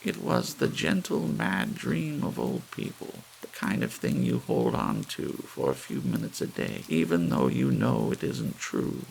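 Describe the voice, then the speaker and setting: A man voicing a resolute, wistful elderly woman in an audiobook story, with a gentle, measured delivery. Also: rumbling low, wildly swooping pitch, gravelly, pitched above the performer's own voice, full character voice, rough recording. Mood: wistful, accepting. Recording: faint hiss under the voice.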